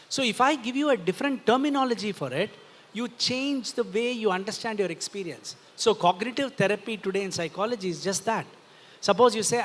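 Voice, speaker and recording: A man's voice: high-pitched, fairly bright tone. A man with an Indian accent explains ideas in a didactic way as a panel speaker at a festival discussion, checking that listeners follow. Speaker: panel speaker, philosopher and author. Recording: reverberant hall sound.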